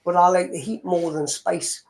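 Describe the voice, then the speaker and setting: A man with a notably high voice, joking around on a video call. He talks in a casual, easygoing way and laughs easily. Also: fairly even intonation, normal speed.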